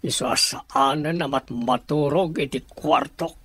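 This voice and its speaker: A man with a Filipino accent playing a stern, wary household master in a radio drama, commanding and measured. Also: on the high side, vocal fry, a bit bright, husky, no echo, full character voice. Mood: grave.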